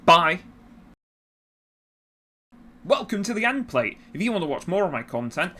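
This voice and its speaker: Higher-pitched man, noisy recording. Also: big pitch swings, measured pace, pushed and bright. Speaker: YouTuber giving opinion commentary. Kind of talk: conversational video essay on games.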